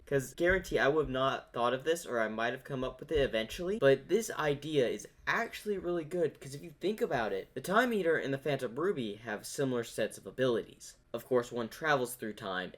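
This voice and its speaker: A man's voice: medium pitch, lively intonation, a little fast, few pauses. A man giving casual commentary on a fan comic dub, thinking out theories in a rambling, speculative way. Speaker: reaction video host.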